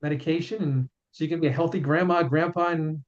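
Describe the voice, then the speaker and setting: A man's voice: on the high side, fairly even intonation, fast talker. A man in his fifties, a chiropractor and author, answering interview questions in an earnest way and often repeating words as he explains. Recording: dull lo-fi sound.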